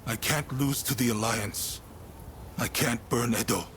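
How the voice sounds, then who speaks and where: A man's voice: medium pitch, unhurried, fairly bright tone, slight background noise. A man playing a weary, brooding samurai leader in a video game, musing aloud with wry self-deprecation, over music. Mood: weary, burdened. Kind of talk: dramatic video game voice acting. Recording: dialogue over clear background music.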